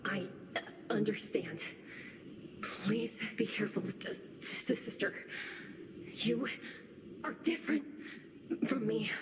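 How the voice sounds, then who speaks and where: An actress playing a timid younger sister in a bird's body in a video game, speaking hesitantly with a stammer. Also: female voice, mid-range voice, animated, takes it slowly, pauses often, noisy background. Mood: hesitant, tender. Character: timid, stammering younger sister revived inside a messenger bird. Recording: background music under the voice.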